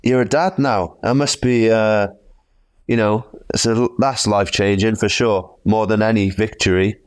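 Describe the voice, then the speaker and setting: A Welsh man chats as a podcast host. His voice sits fairly low and is picked up dry, and his questions come out informally. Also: fairly even intonation, moderate pace, no room sound. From Wales. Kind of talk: casual chat.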